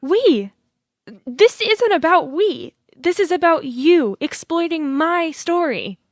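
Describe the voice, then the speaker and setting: An actress playing a bitter young adult daughter of a politician in an audio play, sarcastic and confrontational. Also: female voice, very high-pitched, animated, takes it slowly, no room sound, clean studio sound, exaggerated voice.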